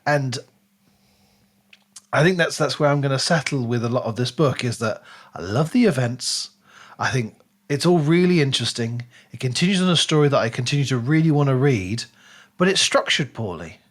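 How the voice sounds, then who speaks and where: A man's voice: mid-range voice, expressive, moderate pace. A man co-hosting a casual book discussion podcast, speaking in an emphatic, analytical way. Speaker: podcast host.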